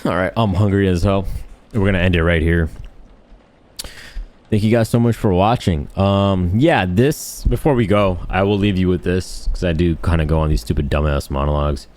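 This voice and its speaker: A man's voice: low voice, normal speed, darkish tone. A man giving casual, unscripted gaming commentary in a blunt, rambling, slangy and crude way. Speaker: gaming video host.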